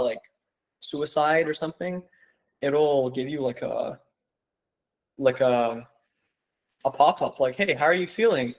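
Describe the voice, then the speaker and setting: A young man giving a casual online seminar, rambling with lots of "like" and "you know" and calling his viewers "guys.". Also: mid-range voice. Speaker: webinar presenter.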